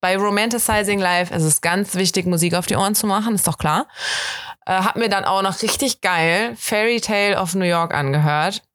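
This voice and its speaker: A young woman from Germany, hosting a podcast, telling a story in casual, chatty fashion and acting out the quoted bits of dialogue. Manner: chatty and animated, voices quoted dialogue with big pitch jumps. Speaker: podcast host.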